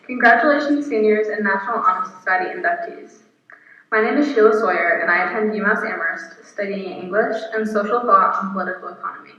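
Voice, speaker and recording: Young woman guest speaker, earnest and steady, far from the mic, muffled, very roomy. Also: mid-range voice, brisk. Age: 20s. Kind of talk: reading a prepared speech. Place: apartment room. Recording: echoey, distant, muffled video recording.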